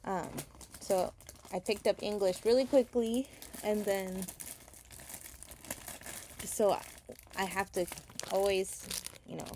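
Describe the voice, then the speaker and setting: Picture a chatty woman hosting a casual live sale, voice sitting at a middle pitch. She rambles from topic to topic and thanks buyers as she goes, while faint crinkling and rustling sounds carry on behind her. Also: a little mellow, slight background noise. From Chile. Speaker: live seller. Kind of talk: casual livestream chat. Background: crinkling sticker packs.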